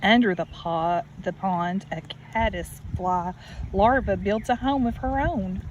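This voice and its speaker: A woman's voice: mid-range voice, unhurried, dull audio. A woman reading aloud to young children as a teacher, instructive and addressing them directly. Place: outdoors by a farm pond. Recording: outdoor recording with background nature noise. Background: running creek, animal sounds.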